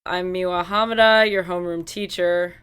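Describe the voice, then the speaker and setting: Actress as weary, hungover middle-aged teacher, clear voice. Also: female voice, lowish voice, moderate pace, natural voice. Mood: tired, indifferent. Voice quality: mildly slurred. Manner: tired, flat and indifferent. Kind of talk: acted audiobook dialogue.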